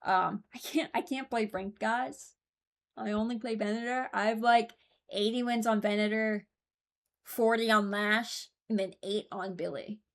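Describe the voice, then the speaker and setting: Rambling young woman, higher-pitched voice. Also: steady tone, a little slow. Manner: rambling, informal, self-interrupting. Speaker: streamer and video host. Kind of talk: casual commentary, ranking game voice lines.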